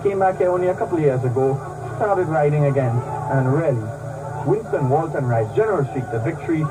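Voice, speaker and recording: A man calling a horse race in rapid, clipped commentary. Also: fairly high pitch, animated, distant mic, low-quality recording. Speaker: race caller.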